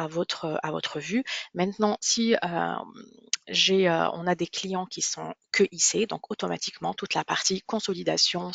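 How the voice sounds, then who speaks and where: Gravelly-edged presenter on dull webinar audio. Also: medium pitch, a bit bright, dry recording. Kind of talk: product demonstration webinar, semi-scripted explanation.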